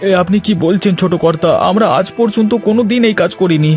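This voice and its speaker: A man's voice: high voice, quick pace, a little mellow, close to an everyday voice. A man playing a humble, aggrieved labourer in a cartoon, plaintive and complaining. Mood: pleading. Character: humble aggrieved male labourer, husband, protesting innocence. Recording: light background music.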